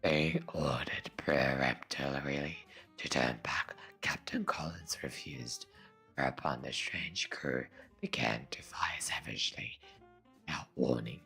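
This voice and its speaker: A woman voicing a whispering demon that reads a storybook tale in a gravelly growl. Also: extremely low pitch, pushed and bright, voice pushed down, exaggerated voice. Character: slow whispering demon reading a bedtime tale. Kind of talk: storybook narration.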